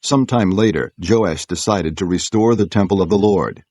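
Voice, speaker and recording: A man reads scripture as a narrator, in a low, husky voice. The delivery is formal and measured, and the sound is dry and close. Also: darkish tone, dry recording.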